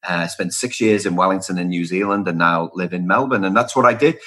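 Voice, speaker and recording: A middle-aged man from Liverpool speaks in a fairly low, husky voice. He answers interview questions conversationally, stacking up phrases and repeating them for emphasis. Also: a little fast, sharp tone. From Liverpool, England. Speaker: podcast guest, speaker and author on workplace culture.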